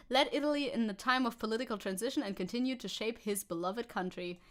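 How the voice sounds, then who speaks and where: Young woman vtuber riffing on news, snarky and sweary, kinda high voice. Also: fairly bright tone. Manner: sarcastic, swearing, laughing asides, reads chat aloud. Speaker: VTuber streamer. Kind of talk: casual live-stream chat reading news and chat.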